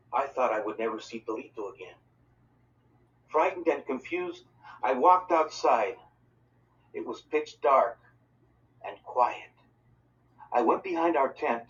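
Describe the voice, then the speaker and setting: A man reading a children's novel aloud as an expressive, dramatic narrator. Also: medium pitch. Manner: dramatic, voices dialogue for characters. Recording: distant mic, dull lo-fi sound.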